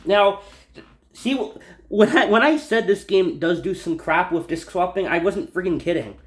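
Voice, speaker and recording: A man's voice: high voice, normal speed. A man giving casual, unscripted gaming commentary, rambling and repeating himself as he stumbles over his words. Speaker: video game YouTuber. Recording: echoey room.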